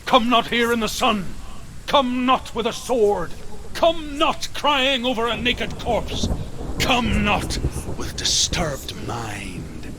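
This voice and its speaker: A man's voice: very high-pitched, big pitch swings, slow pace, noisy background, heavily acted. A man playing a solemn storyteller, reciting old lore in a grave, incantatory way for a game. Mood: warning. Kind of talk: scripted dramatic recitation.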